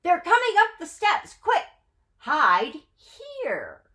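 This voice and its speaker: A woman puts on an eager young fox boy from a children's storybook. He calls out urgently in a very high, bright voice that leaps around. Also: wildly swooping pitch, slow pace, full character voice. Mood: urgent, excited. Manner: urgent, exclaiming.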